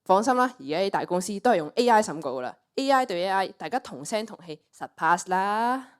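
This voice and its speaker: A woman's voice: mid-range voice, animated, brisk, clean tone, lightly acted. A teenage girl with a Cantonese accent playing a brash, flippant young woman in a radio play, scoffing and cocky. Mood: smug. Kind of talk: student radio play acting.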